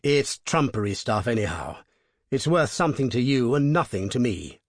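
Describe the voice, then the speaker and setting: A man's voice: mid-range voice, big pitch swings, moderate pace, no room sound, clean studio sound. A man voicing a courteous but determined masked robber in an audiobook, polite and terse. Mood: stern. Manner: polite, terse, quietly commanding. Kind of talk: audiobook character voice.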